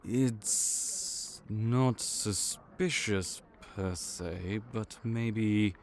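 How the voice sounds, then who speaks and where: A man voicing a calm, bureaucratic male vampire counselor who has done the job for decades, speaking in a measured, clinical way in an audio roleplay. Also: fairly low pitch. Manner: measured, clinical, patiently reassuring.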